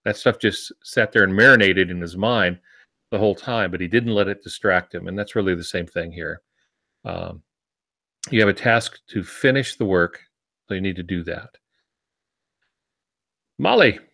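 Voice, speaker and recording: A man's voice: low voice, normal speed, vocal fry, long pauses, sharp tone, no room sound, clean studio sound. A man co-hosting a podcast in casual, conversational chat. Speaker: podcast co-host.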